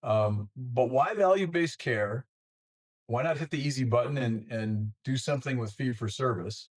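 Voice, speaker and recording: Mid-pitched male podcast interviewer. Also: normal speed. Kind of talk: conversational interviewing.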